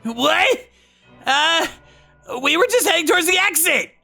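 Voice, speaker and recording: A man voicing panicked young male thugs in a manga dub, frantically shouting and whining in a strained, screechy voice. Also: very high voice, lively intonation, unhurried, vocal fry, faint music behind, pitched above the performer's own voice, full character voice. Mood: nervous.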